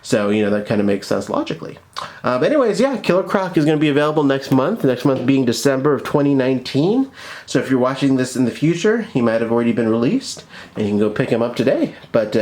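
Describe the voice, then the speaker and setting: Male review host, medium pitch, casual and enthusiastic, informal delivery. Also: very animated, quick pace, darkish tone.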